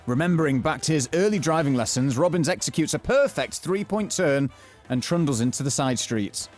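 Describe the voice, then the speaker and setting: A man narrating a mock-thriller audio drama from a script, telling it in a florid, deadpan way. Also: on the high side, fairly quick, faint music behind, lightly acted.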